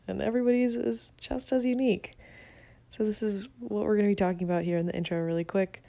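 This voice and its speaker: A young woman from Venezuela chats upbeat and a little self-mocking as she hosts her own podcast. Her voice sits low and warm with a gravelly edge of fry. The sound is dry and close, caught on a phone app rather than a real mic. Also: no echo. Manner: chatty, upbeat, self-deprecating. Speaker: podcast host. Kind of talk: casual solo podcast monologue. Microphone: phone app, no proper mic.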